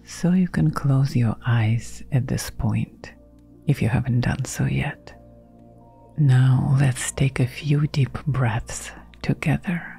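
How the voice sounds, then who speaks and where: Slow breathy woman doing guided meditation, kinda creaky, soft and gentle with lots of pauses, music behind. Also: very deep voice. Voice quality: soft, breathy, half-whispered. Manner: gentle, soothing, unhurried instructions with pauses. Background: background music.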